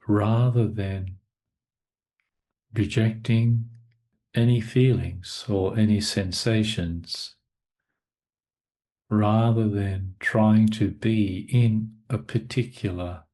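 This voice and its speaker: A male meditation teacher talks at an extremely unhurried pace. His voice sits fairly low, and he delivers the guidance in short, measured phrases, leaving long silences between them. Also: steady tone, a little mellow. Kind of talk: guided meditation talk.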